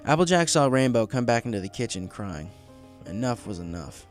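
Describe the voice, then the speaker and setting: A man's voice: mid-range voice, very animated, a little fast, faint music behind. A man reading a story aloud as scripted audiobook narration. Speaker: fan fiction audiobook reader.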